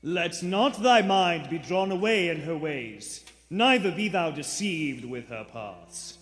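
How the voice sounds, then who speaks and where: An actor playing a menacing, pious gentleman lodger in a live radio play, with a formal, severe and theatrical manner. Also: a little high, lively intonation, slow pace. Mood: fierce, righteous. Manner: formal, severe, theatrical, with sudden sharp outbursts. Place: theatre stage before a live audience. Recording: echoey theatre stage with long reverb.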